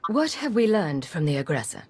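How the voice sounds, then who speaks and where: An actress playing a cool, confident secret agent in a video game, self-assured and a little teasing. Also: female voice, fairly low pitch, big pitch swings, fairly quick, over music. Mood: probing, teasing.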